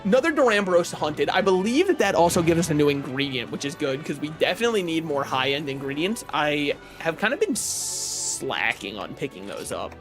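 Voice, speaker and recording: Lively rambling young male streamer. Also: fairly quick, hardly any pauses. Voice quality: booming voice. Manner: rambling, self-mocking, reading game menus aloud. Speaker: vtuber streamer. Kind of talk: casual live-stream chat while gaming. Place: apartment streaming room. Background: faint game music.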